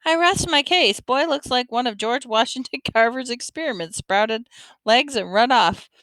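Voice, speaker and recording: Woman reading as a teasing grandfather, jokey drawl, smooth tone, audiobook. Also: on the high side, lively intonation, normal speed, fairly bright tone, no echo, voice pushed up. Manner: joking, drawling. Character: teasing older man, a grandfather.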